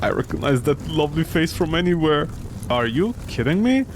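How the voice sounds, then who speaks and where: A man's voice: a little high, big pitch swings, normal speed, a little hiss or hum. A man giving casual, sarcastic and incredulous commentary as he streams a video game.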